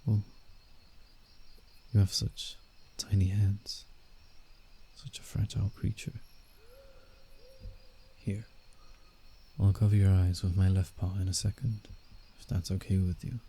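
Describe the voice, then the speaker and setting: A very dark, mellow werewolf, acted by a man, speaks low and slowly in a roleplay ASMR piece. The creature is gentle and protective, soothing a fragile human with faint condescension. Night insects chirp behind the voice. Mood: tender, calm. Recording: steady night insect ambience, background noise. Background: crickets and night forest ambience.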